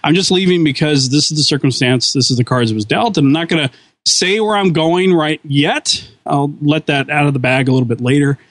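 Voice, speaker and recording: Man, casual podcast co-host, dry close mic, slightly high voice, earnest with corny puns. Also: fairly quick, fairly bright tone, no room sound. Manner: corny dad-joke delivery, earnest.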